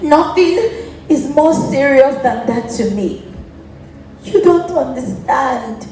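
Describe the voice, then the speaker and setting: A woman's voice: sits high, animated, takes it slowly, mellow. A young woman acting an imperious, jealous noble lady in a stage play. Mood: jealous fury. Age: young adult. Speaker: student stage actor. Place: theatre stage, recorded from afar. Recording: distant, echoey theatre with noise.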